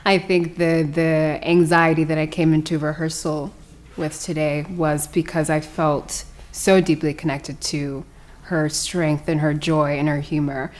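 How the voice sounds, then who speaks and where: A woman with a low-pitched voice talks as a guest actor. She gives reflective, personal answers in an interview setting. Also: flat delivery, measured pace, darkish tone. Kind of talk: reflective post-show interview.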